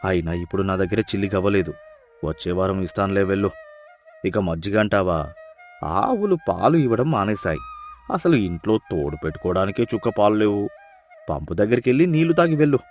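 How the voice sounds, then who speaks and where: A man's voice: lowish voice, expressive, a little fast, darkish tone, soft background music, natural voice. A man voicing a miserly, cunning village farmer in a moral story, whining and grumbling with exaggerated excuses. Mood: complaining, evasive. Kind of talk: dramatised moral story voice acting.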